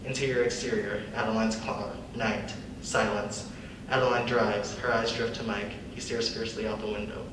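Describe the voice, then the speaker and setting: Low, even-voiced man narrating, echoey. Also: normal speed. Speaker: stage-direction narrator. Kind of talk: scripted narration. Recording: distant echoey room, clear background noise.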